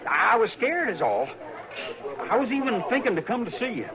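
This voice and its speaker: An actor playing a scared, shifty young drifter in a 1950s radio drama, stammering and hesitant, with rural grammar. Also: male voice, sits high, very animated, normal speed. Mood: nervous. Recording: lo-fi old radio broadcast, saloon crowd behind.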